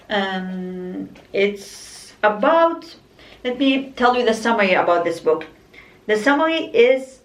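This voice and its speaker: A young woman whose first language is Arabic, chatting casually about books in a halting way with many fillers. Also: mid-range voice. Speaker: book vlogger. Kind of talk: casual book review. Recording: roomy echo, mic at a distance.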